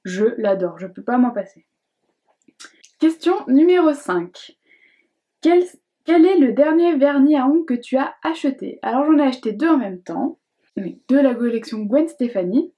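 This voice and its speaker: A woman's voice: high-pitched, expressive, moderate pace, dull audio. A young adult woman chatting casually to camera as a beauty vlogger, hesitant and chatty, with many fillers and restarts. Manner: reads questions aloud in a brighter voice.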